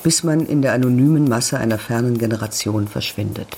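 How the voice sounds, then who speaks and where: A performer in a literary radio drama, playing a solemn narrator who recites idioms and reflections sentence by sentence, deliberately. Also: medium pitch, darkish tone, slight background noise, natural voice. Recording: faint music behind the voice.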